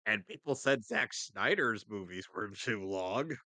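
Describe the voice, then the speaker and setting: A man's voice: fairly low pitch, lively intonation, unhurried, pushed and bright, no echo. A man narrating a comic script in a mock-dramatic way with asides, as a co-reader on a live stream.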